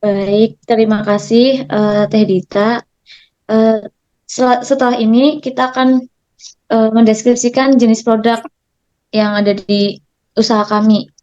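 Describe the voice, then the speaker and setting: Young female student, fairly high voice, reads then chats, dull lo-fi recording. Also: flat delivery, unhurried, long pauses, darkish tone. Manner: casual asides, many "eh" fillers. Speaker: student presenter. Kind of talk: class presentation.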